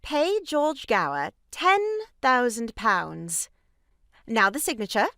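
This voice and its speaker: An actress plays a pushy, theatrically pious lady in an audio farce. Her voice is high and swoops dramatically from note to note. She is mannered and insistent, recorded very dry. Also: big pitch swings, takes it slowly, sharp tone, dry recording, heavily acted.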